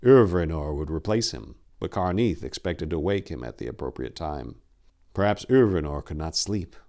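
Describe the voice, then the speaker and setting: A man giving a solemn, deliberate dramatic reading of a dark fantasy horror story, in a theatrical manner. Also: extremely low pitch, lively intonation. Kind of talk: dramatic audiobook narration.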